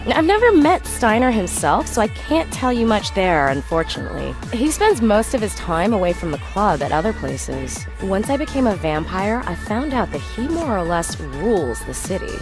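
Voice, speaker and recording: A woman voicing a breezy vampire informant from a video game. She is chatty, her pitch moves in lively swings, and music is clearly heard behind her. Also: moderate pace, hardly any pauses, lightly acted. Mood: informative. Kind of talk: video game cutscene dialogue.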